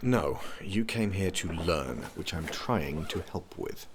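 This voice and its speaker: A man acting a deep, brooding fantasy prince. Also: slow, background noise. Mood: grave. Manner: deadpan, terse, grave. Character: brooding, wary, dry-witted fantasy prince. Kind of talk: audio drama acting.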